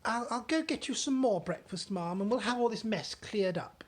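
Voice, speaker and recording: A woman's voice: a little high, animated, normal speed, voice pushed up. A woman voicing a fussy, loyal middle-aged maid who talks eagerly and deferentially while reading a storybook aloud. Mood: anxious.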